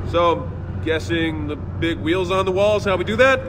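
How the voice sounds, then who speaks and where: A man's voice: high-pitched, normal speed, close to an everyday voice. An actor playing a young male soldier leading a squad in a video game, barking orders in combat. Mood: commanding. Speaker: game character, squad leader. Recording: game audio under loud noise.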